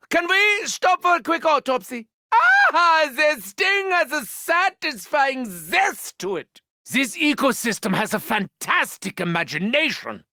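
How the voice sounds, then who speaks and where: An actor voicing an arrogant, unhinged German mad scientist in a video game, pompous, theatrical and gleefully sinister, with a German accent. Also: male voice, sits high, pushed and bright, no echo, clean studio sound, full character voice. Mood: haughty. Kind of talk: video game character voice lines.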